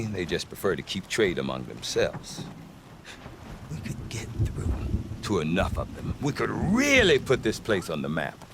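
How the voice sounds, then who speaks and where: A man's voice: sits low, noisy background, heavily acted. A middle-aged man playing a wry, grumbling, talkative frontier trader in a video game. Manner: wry, talkative, hesitant when choosing words. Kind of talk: video game dialogue acting.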